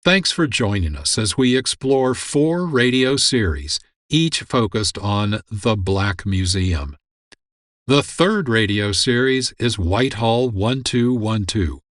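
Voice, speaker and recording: A man hosting a radio program, reading scripted narration in a measured, informative way. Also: medium pitch, a bit bright, dry recording, clean studio sound. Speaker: radio show host.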